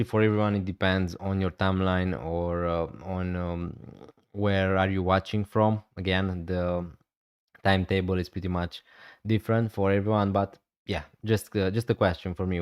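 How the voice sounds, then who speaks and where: Relaxed man streaming live. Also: low-pitched, smooth, no echo, clean studio sound. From Romania. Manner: relaxed, hesitant, many fillers. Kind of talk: casual live stream chat.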